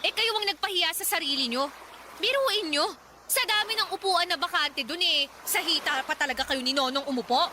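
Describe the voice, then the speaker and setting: A woman's voice: extremely high pitch, a little fast, a little hiss or hum, full character voice. A woman playing an indignant, embarrassed young daughter with a Filipino accent in a radio drama, scolding in exasperation. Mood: exasperated, scolding. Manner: sharp, exasperated. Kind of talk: Tagalog radio drama acting.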